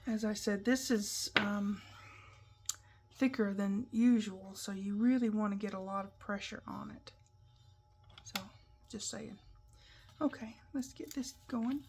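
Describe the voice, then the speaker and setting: A woman hosting a papercraft tutorial, talking through each step in a chatty, instructive way while a little croaky. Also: mid-range voice, a little slow, pauses often, a little mellow, slight background noise. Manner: chatty, instructive, talks while working with hands. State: croaky, clearing her throat. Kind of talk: casual step-by-step craft demonstration.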